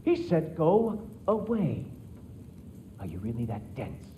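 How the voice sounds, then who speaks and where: A man's voice: on the high side, wildly swooping pitch, slow pace, background noise, exaggerated voice. A man acting as an arrogant, sarcastic god in a video game cutscene, mocking those around him.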